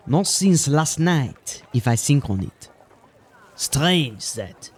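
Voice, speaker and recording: A man's voice: a little high, sing-song, slow pace, husky, heavily acted. A man playing a plain-spoken, deferential gatekeeper of a medieval town in an audio drama, musing in folksy turns of phrase. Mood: musing, casual.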